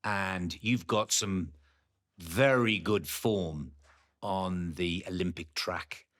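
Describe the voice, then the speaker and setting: A man's voice: sits low, takes it slowly, fairly bright tone. A man hosting an interview, asking long questions and giving friendly, encouraging replies. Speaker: interviewer host. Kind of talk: podcast interview.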